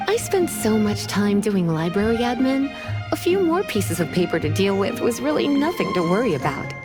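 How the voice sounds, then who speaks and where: A woman's voice: medium pitch, very animated, moderate pace, over music, natural voice. A woman playing a composed, worldly librarian in a video game, kindly but firm. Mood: breezy.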